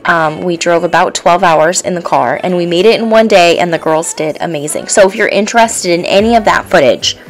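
A woman's voice: lowish voice, brisk, few pauses, soft background music, rough recording. A woman in her thirties, a stay-at-home mom, chatting casually and encouragingly in a voice-over with plenty of ums.

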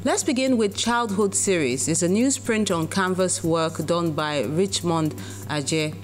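A woman's voice: fairly low pitch, a little slow. A woman presenting scripted arts narration for television. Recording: loud music bed under voice. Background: loud music.

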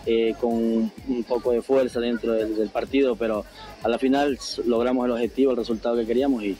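A thirties man answers in a post-match interview outdoors. His tone is dark and mellow, at an average male pitch. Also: steady tone, a little fast, slight background noise, rough recording. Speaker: radio broadcaster, winning player. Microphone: handheld phone held near chest.

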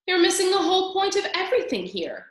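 Storybook role: pushy big-brother mouse done by a woman, blunt, scolding, pitched up, in a roomy space. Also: very animated, fast talker. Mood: exasperated, scolding. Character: bossy, exasperated male mouse, scolding older brother.

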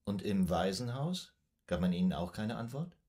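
Calm clinical shrink in a radio play, fairly deep voice, measured and formal. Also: male voice. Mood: composed, probing. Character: composed, probing male psychoanalyst questioning a patient. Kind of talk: German radio drama acting.

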